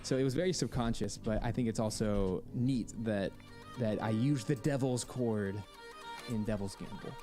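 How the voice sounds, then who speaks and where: A man's voice: lowish voice, moderate pace, warm tone. A man speaking as a composer on a podcast, explaining his music in a conversational way. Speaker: composer interviewed as podcast guest. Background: music, with guitar.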